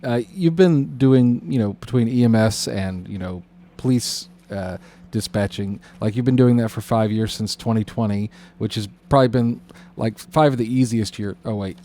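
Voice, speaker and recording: Low-pitched man, halting podcast host. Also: dark tone, slight background noise. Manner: halting, rambling, roundabout questions. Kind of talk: casual conversational interviewing.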